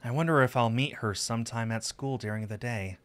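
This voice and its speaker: A man's voice: medium pitch, brisk, a bit bright. A man streaming a visual novel, reading the narration aloud and adding casual asides. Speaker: game streamer. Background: music.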